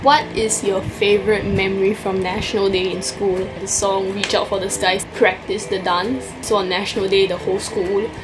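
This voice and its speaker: A woman's voice: mid-range voice, flat delivery, moderate pace, hardly any pauses, low-quality recording. Young Singaporean woman vlogger, casual question-tag chat, Singaporean English accent, music playing underneath. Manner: chatty, answers questions from a list.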